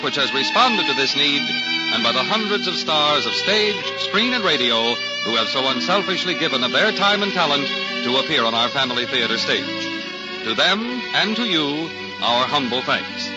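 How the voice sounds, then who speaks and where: Over loud orchestral music on a muffled old recording, an American man announces a radio program. His voice is fairly high and animated, polished and formal. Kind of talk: 1940s radio announcing, scripted.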